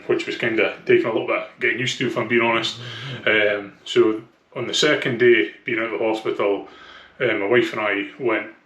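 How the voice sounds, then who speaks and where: A man's voice: medium pitch, pushed and bright. A man with a thick Scottish accent talking casually and candidly in a personal vlog, with hesitant, conversational phrasing. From Scotland. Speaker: vlogger. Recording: echoey room.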